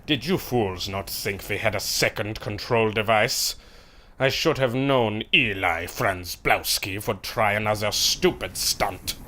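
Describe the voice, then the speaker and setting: A man's voice: on the low side, unhurried, bright, forceful tone. A man as a menacing villain commander. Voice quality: cold and threatening.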